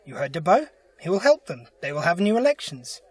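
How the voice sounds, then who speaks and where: A man's voice: high-pitched, sing-song, brisk. A man playing a kindly, earnest alien time traveller in an audio drama. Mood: calm, reflective. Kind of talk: fan audio drama acting.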